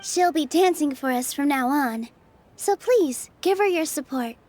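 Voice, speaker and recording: A young female dancer who encourages others gently. Her voice is high and lilting, and she takes her time. Game music plays behind her. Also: expressive, a little slow, slight background noise. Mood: encouraging.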